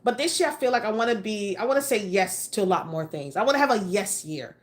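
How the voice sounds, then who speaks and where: A woman's voice: medium pitch, expressive, quick pace. A woman in her late forties chats live to her viewers, frank and emphatic, now and then swearing for effect. She keeps it casual and conversational, picking up comments as they come in. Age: 40s. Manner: chatty, reads out and answers viewer comments; frank, mildly profane, emphatic. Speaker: livestream host. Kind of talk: casual livestream chat.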